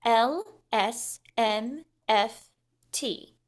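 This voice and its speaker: An actress playing a sweet, scatterbrained wife in a radio comedy recreation. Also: female voice, a little high, extremely slow. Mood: sweetly dizzy. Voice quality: sugary, dizzy. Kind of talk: 1950s radio comedy acting.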